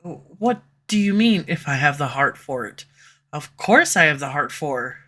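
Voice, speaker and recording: A woman voicing a sharp-tongued, tsundere female villain who swears and speaks sarcastically, recorded close on a studio condenser mic. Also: low voice, sing-song, a little slow, heavily acted. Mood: rattled. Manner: sarcastic, swears, turns confessional. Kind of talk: audio roleplay. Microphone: studio USB condenser mic.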